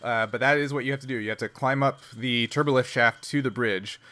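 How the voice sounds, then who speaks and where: A man acting as game master, casually narrating a tabletop roleplaying game in a halting, conversational way. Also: medium pitch, steady tone, pushed and bright.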